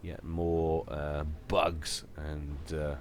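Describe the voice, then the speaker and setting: Deep-voiced man casting a game, nasal. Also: very slow, slight background noise. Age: adult. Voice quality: slightly nasal. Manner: lively, chatty play-by-play with wry asides. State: has a cold. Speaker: game caster. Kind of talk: live strategy game commentary.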